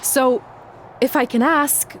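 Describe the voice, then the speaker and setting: A woman's voice: high voice, fairly even intonation, slow pace, a bit bright, slight background noise. A woman playing a confident, plucky young wrestler in a video game cutscene. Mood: hopeful, insistent.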